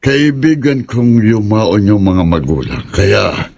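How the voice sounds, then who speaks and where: An actor playing an old male village healer in a horror audio drama, speaking in a husky, solemn voice. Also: lowish voice, big pitch swings, slow, darkish tone, exaggerated voice. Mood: grave.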